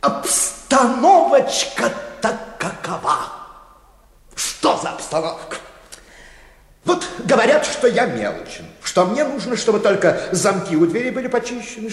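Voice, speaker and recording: A man's voice: sits high, sing-song, normal speed. Pompous, swooping bank chairman. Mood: boastful, pompous. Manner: pompous, grandiloquent, fussily familiar. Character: pompous, vain, self-pleased male bank chairman. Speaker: actor in a radio play. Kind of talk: theatrical Russian radio drama acting. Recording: echoey hall with long reverb.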